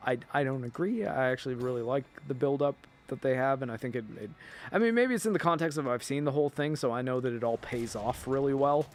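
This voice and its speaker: A man hosting a video, giving casual, rambling film and TV commentary in an informal, emphatic way, often halting mid-thought. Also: mid-range voice. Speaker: video host.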